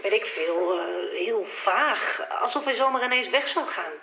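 A young woman playing the worried, loyal sister of a missing man in an audio drama, talking casually and haltingly on a roomy, thin recording. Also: medium pitch, animated. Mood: worried, indignant. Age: young adult. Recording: roomy, thin phone-like old tape.

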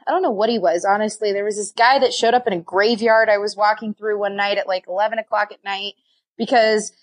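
A woman's voice: mid-range voice, steady tone, fairly quick. A young woman, a podcast guest, telling stories about her past in a chatty way, laughing often and acting out what she once said to herself. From raised in many countries, partly Scotland and Nevada. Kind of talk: casual storytelling.

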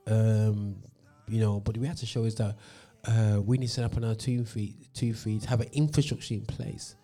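A man's voice: lowish voice, mellow. A man hosting a gospel radio show, ranting passionately with lots of fillers, recorded in a studio. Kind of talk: opinionated radio monologue.

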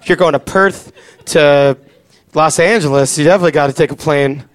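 A man with a high voice hosts a live podcast. He talks casually and off the cuff, with a sarcastic, jokey way of teasing the room. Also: a little fast. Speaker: podcast host. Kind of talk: casual joking chat with a live audience.

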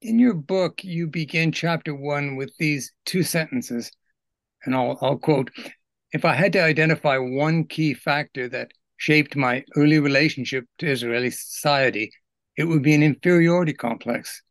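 A man's voice: a little high, normal speed, no room sound. A man hosting a podcast, reading introductions and putting questions to his guest in a presenter's style. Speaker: podcast host, editor. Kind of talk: scripted podcast introduction and interviewing.

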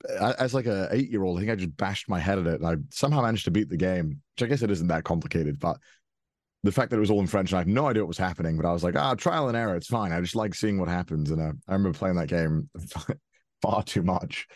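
A man's voice: sits low, fast, darkish tone, no room sound. A man, chatting as an interview guest, with plenty of fillers and restarts. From Wales. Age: 20s. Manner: hesitant, many restarts and fillers, laughs often. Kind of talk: casual interview chat.

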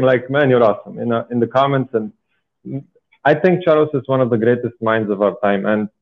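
A man in his twenties with a Bulgarian accent, telling his story enthusiastically and emphatically as a podcast guest. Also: medium pitch. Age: 20s. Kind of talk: casual interview talk, personal storytelling. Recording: phone-like, thin internet call audio.

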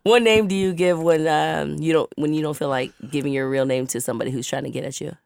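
A woman's voice: sits low, steady tone, fast talker, gravelly, darkish tone, dry recording, clean studio sound. A woman co-hosting a podcast while sick, chatting casually with playful, short interjections. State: sick with a cold or similar illness. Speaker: podcast co-host. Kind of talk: casual chat.

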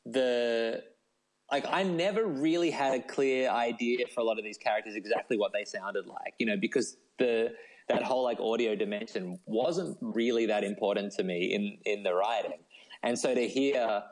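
A man's voice: fairly low pitch, rough recording. A man chatting casually as an interview guest, thinking aloud and padding his sentences with "like" and "you know". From Australia. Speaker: guest, novelist.

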